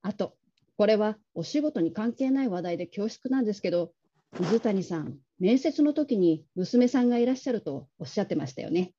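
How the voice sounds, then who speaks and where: Woman acting a very mellow, courteous manager. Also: mid-range voice, expressive, slightly rough, muffled recording. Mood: confiding, considerate. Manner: gentle, measured, courteous. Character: polite, caring, discreet female call-centre manager. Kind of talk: radio drama reading.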